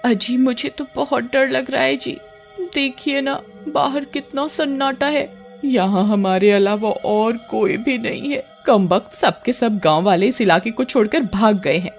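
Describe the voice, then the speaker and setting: A woman voicing a frightened, nagging village wife in an animated horror story, anxious and pleading. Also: fairly high pitch, a little slow, soft background music.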